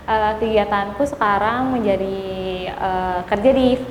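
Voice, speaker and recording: A woman's voice: medium pitch, unhurried, a little hiss or hum. A woman in her twenties answering interview questions in a chatty, hesitant way. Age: 20s. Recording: echoey room with long reverb.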